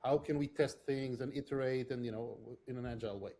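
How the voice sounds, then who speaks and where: A man's voice: mid-range voice, steady tone, brisk, dark tone. A middle-aged man, a product leader giving a thoughtful interview answer, with many restarts and fillers. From Israel. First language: not English. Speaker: podcast guest, product leader.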